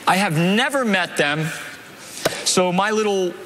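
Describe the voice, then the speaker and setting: A man performing a magic routine on a stage, talking with the audience in an energetic, playful way. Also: high-pitched, measured pace. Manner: energetic, upbeat, playful with the crowd. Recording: TV stage with crowd and music. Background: music and audience.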